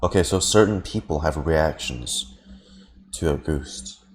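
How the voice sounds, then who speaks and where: Very deep, slow-talking male streamer. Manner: thinking aloud, musing, hesitant. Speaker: game streamer. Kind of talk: casual live reaction commentary.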